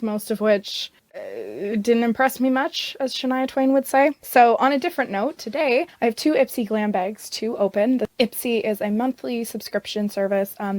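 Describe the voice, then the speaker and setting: A young woman from Canada with a hoarse, scratchy voice from vocal strain, chatting casually to camera as a beauty vlogger, rambling with lots of fillers. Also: medium pitch, a little mellow, no room sound.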